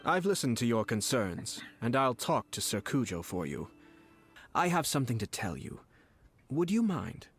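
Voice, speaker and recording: An actor playing a composed noble male commissioner who speaks smoothly and courteously, with quiet menace. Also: medium pitch, big pitch swings, moderate pace, faint music behind. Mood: cool. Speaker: clan commissioner. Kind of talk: game voice acting.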